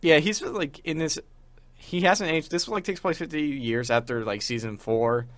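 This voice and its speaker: A man's voice: a little high, normal speed, a bit bright, no echo. A young man co-hosting a podcast in casual chat, rambling with a fan's enthusiasm. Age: young adult. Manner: enthusiastic fan talk, rambling. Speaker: podcast co-host.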